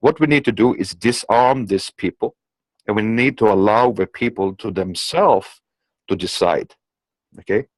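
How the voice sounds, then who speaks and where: A man's voice: on the low side, a little slow, no room sound, low-quality recording. A man, not a native English speaker, delivering an unscripted political monologue in an emphatic, insistent manner, often checking in with "okay?". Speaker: commentator.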